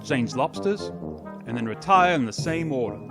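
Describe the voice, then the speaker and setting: Brusque, animated gryphon creature played by an actor. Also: medium pitch, normal speed, over loud music, close to an everyday voice. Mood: interjecting. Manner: blunt, interjecting, commanding. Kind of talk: radio play acting.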